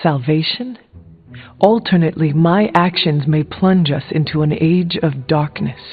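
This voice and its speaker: A woman playing a supernatural warrior hero in a video game, speaking formally and deliberately. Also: low-pitched, slightly rough. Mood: grave, resolute. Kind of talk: scripted video game dialogue. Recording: muffled phone-like band, music behind.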